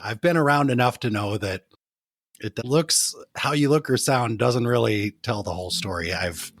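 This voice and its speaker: Husky-voiced male podcast host. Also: mid-range voice, moderate pace, dry recording, studio quality. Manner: candid, playful, acts out quoted lines. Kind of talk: casual interview chat.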